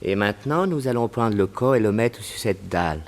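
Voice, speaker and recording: An actor playing a calm, methodical Chinese crime boss and undertaker in a 1960s French radio drama, giving measured instructions. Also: male voice, lowish voice, very noisy. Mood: methodical, instructing.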